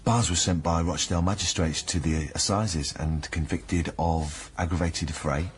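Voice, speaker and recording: Radio play: male actor as gentle, concerned partner, low-pitched natural delivery over noticeable background noise. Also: fairly even intonation, moderate pace, lightly acted. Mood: grave. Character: earnest, concerned male partner of a troubled woman. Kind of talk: naturalistic radio drama dialogue.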